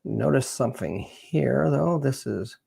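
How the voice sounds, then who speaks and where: Male livestream host, Canadian accent, creaky and rough-edged voice, informal and meandering delivery. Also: mid-range voice, expressive, dark tone. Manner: rambling, halting, thinking aloud. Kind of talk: casual chat to livestream viewers.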